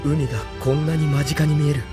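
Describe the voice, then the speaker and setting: A man's voice: on the high side, fairly even intonation, a little fast, over music, lightly acted. A man playing a brave, idealistic young mecha pilot in an audio drama, thinking aloud dramatically. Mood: reflective, earnest. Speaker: voice actor in radio drama. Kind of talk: anime-style audio drama acting.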